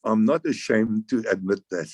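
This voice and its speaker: A man's voice: on the low side, darkish tone, breathy edge, dry recording. An older South African man, a veteran guest, reminiscing in a rambling way with frequent restarts and chuckles. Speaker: interview guest, air force veteran. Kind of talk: relaxed reminiscing.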